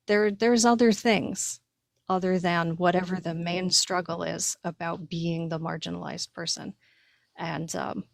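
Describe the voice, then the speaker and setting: A woman's voice: fairly low pitch, gravelly, no echo, clean studio sound. An American woman from Connecticut co-hosting a casual discussion, speaking informally and repeating her points for emphasis. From Connecticut, United States. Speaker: podcast co-host and writer.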